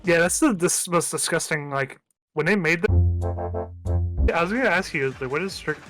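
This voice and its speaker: A man's voice: a little high, moderate pace, a bit bright. A man chatting casually with a friend during a game stream. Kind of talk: casual chat. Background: music behind the voice.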